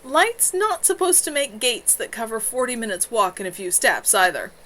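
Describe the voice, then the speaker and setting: A woman reading a novel aloud, voicing a calm, careful teenage mage who explains things in a measured way. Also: fairly high pitch. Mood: explaining.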